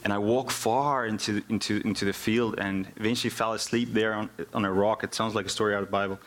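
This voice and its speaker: A man's voice: lowish voice, fairly even intonation, fast, a bit bright. A South African man, a native Afrikaans speaker, giving an informal personal testimony in church, often repeating words as he searches for phrasing. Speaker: worship musician and music teacher giving a testimony.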